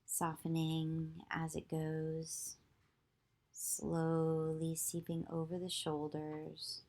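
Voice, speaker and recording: A woman yoga teacher leading a guided visualization meditation, giving slow, soothing instructions with long pauses. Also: low-pitched, completely flat delivery, dark tone.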